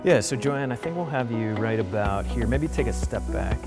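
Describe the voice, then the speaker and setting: A man's voice: mid-range voice, lively intonation, moderate pace, over loud music. A man giving a casual spoken demonstration as a filming instructor.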